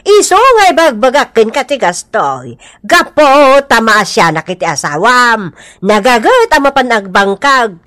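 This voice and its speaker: Nagging auntie, bright and animated. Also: female voice, on the high side. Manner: scolding, insistent, drawn-out questioning. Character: nagging older village auntie, scolding and advising. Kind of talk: Ilocano radio comedy drama acting.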